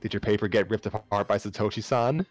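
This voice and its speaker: A man's voice: fairly low pitch, steady tone, fast talker, natural voice. Man voicing an easygoing college girl. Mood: friendly, casual. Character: friendly, easygoing young female college student. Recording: music playing behind the voice.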